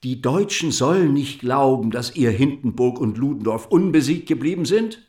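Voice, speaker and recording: Male actor for radio-play French writer-politician, sardonic orator, mid-pitched and very animated. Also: moderate pace, lightly acted. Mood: bitter irony. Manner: rhetorical, ironic. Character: bitter, patriotic French writer and deputy, eloquent, sardonic.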